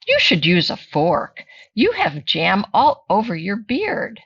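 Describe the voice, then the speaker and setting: A woman's voice: mid-range voice, huge pitch swings, slow pace, a bit bright, husky, no echo, lo-fi sound, heavily acted. A woman voicing a kindly, chatty old grandmother in a children's picture book, fond and fussing, with singsong exclamations.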